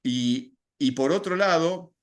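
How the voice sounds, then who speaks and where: A man's voice: on the high side, slow. Older Argentine man, podcast guest, reflective and explanatory delivery with frequent restarts. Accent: Argentine Spanish. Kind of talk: podcast interview.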